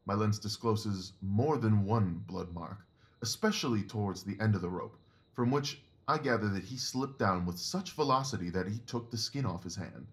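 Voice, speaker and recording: An actor playing a keen, self-assured Victorian detective in an audio drama, speaking in a precise, deliberate way. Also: male voice, on the low side, close to an everyday voice. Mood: reasoning, confident.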